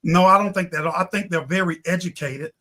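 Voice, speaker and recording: Male talk show guest, high and bright voice, impassioned, rhetorical political delivery with repetition for effect. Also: fairly quick.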